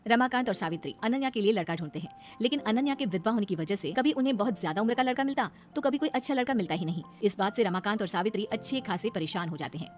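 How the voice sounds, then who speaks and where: Female storybook narrator: brisk scripted delivery, music underneath. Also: medium pitch, lively intonation. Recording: music behind the voice.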